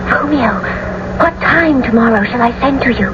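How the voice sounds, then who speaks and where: Woman acting anxious young noblewoman in love, romantic classical radio drama, raised pitch, dull noisy broadcast recording. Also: moderate pace, lightly acted. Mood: lovestruck. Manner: theatrical, earnest, romantic delivery.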